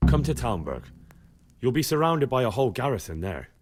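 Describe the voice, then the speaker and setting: A man's voice: mid-range voice, big pitch swings, fairly quick, noisy background, close to an everyday voice. An actor playing a dependable, protective young medieval man in a video game, speaking in a reassuring, down-to-earth way. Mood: grave, practical.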